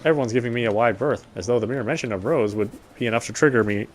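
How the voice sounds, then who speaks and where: A man reading a visual novel's text aloud as dramatic narration, solemn and a little halting. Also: medium pitch. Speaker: game player reading story text aloud.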